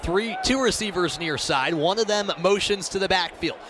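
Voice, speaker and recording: High-pitched American man calling live sports. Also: moderate pace, noisy background. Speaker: play-by-play sports announcer. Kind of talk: live radio sports commentary.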